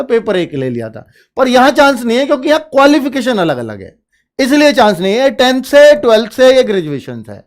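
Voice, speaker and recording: High-pitched male coaching teacher. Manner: instructive, asks questions and answers them himself; repeats points for emphasis. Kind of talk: informal explanatory talk to students.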